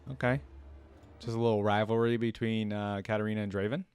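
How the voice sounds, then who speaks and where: A man's voice: fairly low pitch, level tone, fairly quick, a little mellow, clear, resonant tone, faint music behind. A man giving casual, off-the-cuff reaction commentary as a video host.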